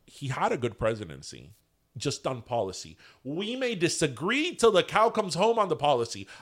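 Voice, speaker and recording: A man's voice: on the high side, moderate pace. A man co-hosting a casual podcast chat, talking in a Cuban American accent and slipping Spanish phrases into his English. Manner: animated, drops into Spanish phrases. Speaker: podcast co-host.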